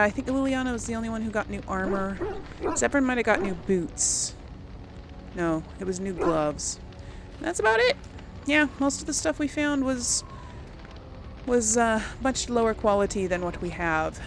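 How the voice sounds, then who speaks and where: Female let's play host, creaky voice, casual thinking-aloud delivery, faint noise behind. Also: mid-range voice, animated, measured pace. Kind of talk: casual gameplay commentary, talking to viewers.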